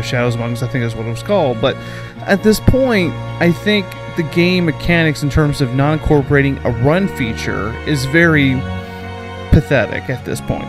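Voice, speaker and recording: A man hosting a podcast game review, giving commentary that moves between prepared narration and loose opinion. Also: medium pitch. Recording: music playing behind the voice. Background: music.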